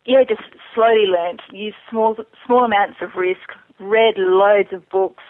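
A woman's voice: medium pitch, fairly even intonation, a little slow. Woman, casual interview guest, chatty and candid, tinny telephone sound, no room echo. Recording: thin narrowband phone or internet call.

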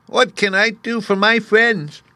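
A man voicing a squeaky, little queen of the field mice, helpful and brisk, in a storybook reading. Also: steady tone, pushed and bright, dry recording.

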